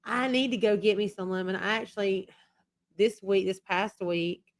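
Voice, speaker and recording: A woman's voice: medium pitch, normal speed, vocal fry. A woman chatting casually on a live stream as the channel's host, rambling and repeating herself while losing her voice after being sick. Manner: rambling and repetitive.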